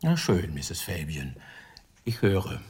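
A man's voice: deep voice, big pitch swings, slow pace, a little mellow, a little hiss or hum, heavily acted. An actor playing a skeptical police lieutenant in a radio drama, blunt, sardonic and probing as he questions suspects. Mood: pressing.

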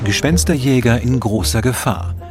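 A man's voice: medium pitch, normal speed. A man reading a scripted title announcement as the announcer of a radio play. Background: loud music.

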